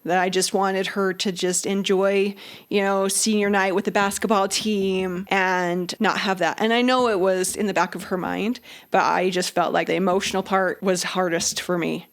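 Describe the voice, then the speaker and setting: A woman's voice: mid-range voice, level tone, normal speed. A woman in her late forties, a guest speaking candidly and reflectively about caregiving in plain, easy words. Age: 40s. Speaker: guest, spouse and caretaker. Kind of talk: candid conversation.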